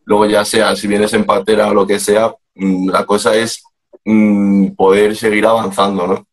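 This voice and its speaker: A young man from Madrid speaks on a video call, his voice sitting fairly low. He tells his stories in a relaxed, chatty way and slips into other people's voices when he quotes them. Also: measured pace. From Spain, Madrid. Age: young adult. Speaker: panelist. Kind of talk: casual chat on a video call.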